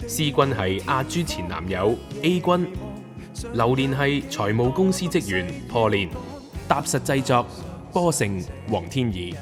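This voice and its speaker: A man's voice: medium pitch, big pitch swings, unhurried. A man with a Hong Kong Cantonese accent reading scripted credits and trailers as a radio drama announcer. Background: guitar music.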